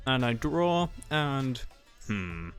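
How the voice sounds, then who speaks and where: A man voices a confident, slightly absent-minded card duelist in an anime-style roleplay. His mid-pitched voice moves up and down in a lively way as he thinks aloud. Faint music plays behind him. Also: extremely slow, exaggerated voice. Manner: thinking aloud with hesitant hums. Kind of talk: improvised anime-style roleplay dialogue, game commentary.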